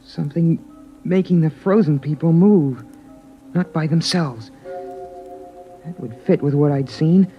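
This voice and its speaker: A young man playing an anxious, watchful boy who narrates suspenseful old-time radio drama in a hushed manner. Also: fairly high pitch, a little slow, pauses often, close to an everyday voice. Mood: uneasy, brooding. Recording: dull vintage radio recording, music underneath.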